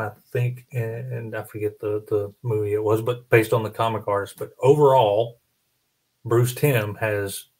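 A middle-aged man hosting a casual panel chat, rambling a little as he thinks aloud. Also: fairly low pitch. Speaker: host of a collector panel. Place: small home room, indoors, video call. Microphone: studio mic on boom arm close up.

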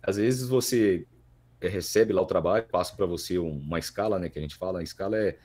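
A man in his thirties with a Brazilian accent, explaining things in a halting, casual podcast chat as a guest. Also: low voice, a little mellow. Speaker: guest, voice actor.

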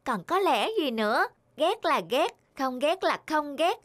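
A woman playing a plucky, inquisitive, mischievous little granddaughter in an animated film, polite but insistent. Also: high voice, unhurried, no echo, studio quality. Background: music.

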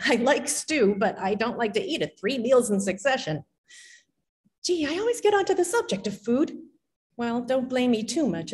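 A woman in middle age performs a reading of personal letters from a lectern. Her pitch is medium, and she tells it conversationally, with clear enunciation and dramatic expression. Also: darkish tone. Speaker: actress reading historical letters. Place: indoor auditorium stage at a lectern. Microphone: gooseneck podium microphone.

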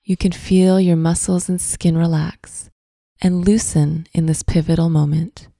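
A woman narrating a scripted guided visualization in a soft, breathy, soothing voice, with deliberate phrasing and long pauses. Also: fairly low pitch, little pitch movement, no room sound, studio quality. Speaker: guided meditation host.

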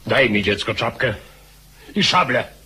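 Husky old commander, forceful and slow. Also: male voice, mid-range voice, lively intonation, exaggerated voice. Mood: resolute. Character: old, defiant, weary military commander facing death. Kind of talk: radio drama acting.

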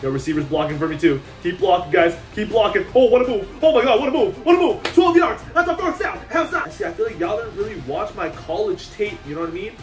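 A man's voice: high voice, fast talker, hardly any pauses. Hyped young man calling his own game. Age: young adult. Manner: hyped, playful, repeats chants, trash talk. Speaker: gaming YouTuber. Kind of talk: excited gameplay commentary. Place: small room indoors. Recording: roomy, slightly distant, faint music.

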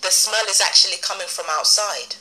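A woman's voice: medium pitch, a little fast, bright, forceful tone, faint music behind. A British woman in a casual phone conversation, insistent and firm, replayed through a small recorder speaker. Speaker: support client on a phone call. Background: phone call replayed from a handheld voice recorder.

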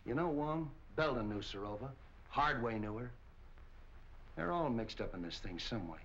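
A man's voice: mid-range voice, animated, normal speed, a bit bright. An American man playing a middle-aged, short-tempered police captain in a 1940s film, barking orders impatiently. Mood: businesslike. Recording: muffled, noisy old 1940 film soundtrack.

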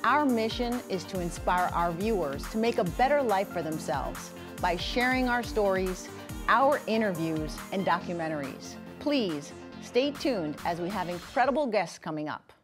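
Woman announcer reading a scripted show intro in a polished, promotional tone at a medium pitch. Music plays clearly beneath her voice. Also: expressive, normal speed.

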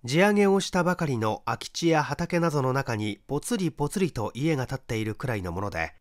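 A man's voice: a little high, no echo, clean studio sound. A man reading a mystery story aloud as a dramatic scripted narration. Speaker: audiobook reader, stage actor.

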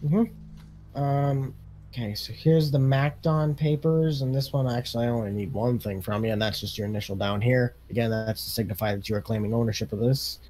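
A man plays a dealer in an improvised game role-play. His voice is mellow and dark at an average pitch, and he talks in a matter-of-fact, conversational way. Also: steady tone, moderate pace, a little hiss or hum. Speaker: role-play partner playing equipment dealer.

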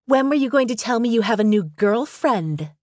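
A woman's voice: a little high, expressive, moderate pace, dry recording, clean studio sound, pitched above the performer's own voice, natural voice. Woman voicing a cutting, accusing ex-wife. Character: bitter, accusing ex-wife, cold and sharp.

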